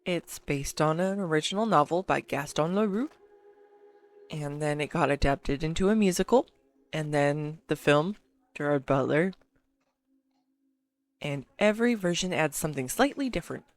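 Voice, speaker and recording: Woman playing an earnest, low-voiced enthusiastic girlfriend. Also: lively intonation. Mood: earnest, absorbed. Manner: earnest, enthusiastic info-dumping with halting pauses. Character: excitable, affectionate neurodivergent girlfriend gushing over her favourite film. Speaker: amateur voice actor. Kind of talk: scripted roleplay audio monologue spoken to a listener.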